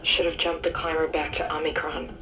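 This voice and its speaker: A woman's voice: deep voice, little pitch movement, fairly quick, recorded from a distance, noisy background, close to an everyday voice. An actress playing a weary, resigned female station survivor in a dramatic video game.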